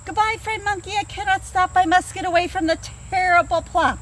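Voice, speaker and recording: A woman's voice: high voice, big pitch swings, measured pace, sharp tone, a little hiss or hum, heavily acted. Woman voicing a nervous, spooked fox. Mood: wary. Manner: wary, worried voice.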